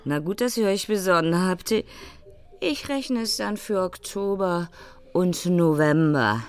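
A woman's voice: on the low side, animated, unhurried, clear, resonant tone. An actress playing a quarrelsome, hard-drinking middle-aged mother and landlady in a German radio drama, demanding and self-pitying. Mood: brisk, grudging. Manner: demanding, tearful when tipsy.

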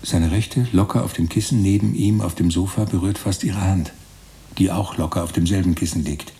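An actor narrating a German literary radio drama as a wry storyteller. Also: male voice, sits low, moderate pace, warm tone.

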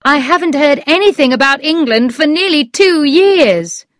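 Woman doing a lord guy from a storybook, raised voice pitch, super earnest and awkward, in love, swoops around. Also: expressive, normal speed, fairly bright tone, dry recording, clean studio sound. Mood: surprised. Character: earnest lord and ocean scientist, a man, hesitant, lovestruck.

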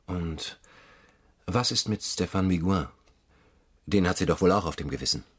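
An actor playing a probing, businesslike police inspector in a German radio crime drama, direct and questioning. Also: male voice, low voice, normal speed, fairly bright tone, breathy edge.

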